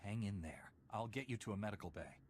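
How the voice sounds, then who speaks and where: An actor playing a calm male Jedi knight hero who speaks in a steady, reassuring way. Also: fairly low pitch, quick pace, slight background noise, close to an everyday voice.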